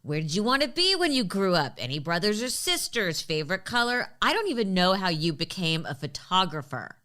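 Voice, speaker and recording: Woman, podcast host, smooth clear voice, mid pitch, conversational interviewing. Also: very animated, a little fast, bright, forceful tone.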